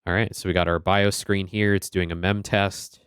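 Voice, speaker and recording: A man's voice: sits low, little pitch movement, normal speed, fairly bright tone, clear, resonant tone, no echo, clean studio sound. A man streaming a retro game, chatting casually and greeting viewers by name.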